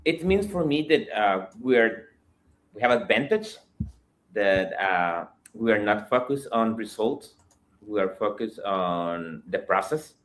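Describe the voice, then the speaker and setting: A fairly low-voiced young man from El Salvador who speaks English as a second language after Spanish. He answers interview questions in a careful, measured way, pausing often to find his words. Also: animated. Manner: careful, pausing with frequent fillers. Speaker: missionary guest.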